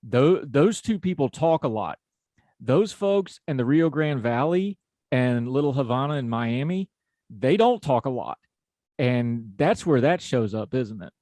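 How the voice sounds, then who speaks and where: A man's voice: mid-range voice, lively intonation, unhurried, dark tone, dry recording. A man from West Virginia with an Appalachian accent, talking in a folksy, conversational way as a podcast host. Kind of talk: conversational interview.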